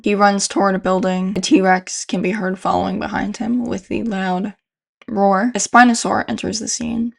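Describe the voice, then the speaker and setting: Young woman, creaky voice, casual commentary. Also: medium pitch. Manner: informal, slangy. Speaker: YouTube horror video host. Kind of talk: casual video commentary and recap.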